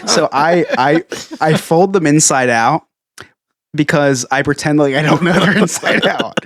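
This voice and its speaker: A man with a New Jersey accent rambles through a casual podcast chat, a little tipsy and quick to mock himself. His voice is dry and close, with no room sound around it. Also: a little high, no echo. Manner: rambling, self-mocking, laughs a lot. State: a bit tipsy. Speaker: podcast co-host.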